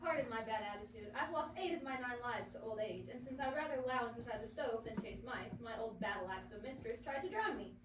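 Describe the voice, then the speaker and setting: A woman's voice: fairly high pitch, a little fast, few pauses, lightly acted. A teenage girl playing a cross, boastful, snarky old cat in a reader's theater performance. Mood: sassy. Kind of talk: reader's theater script reading. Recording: echoey noisy classroom, mic at a distance.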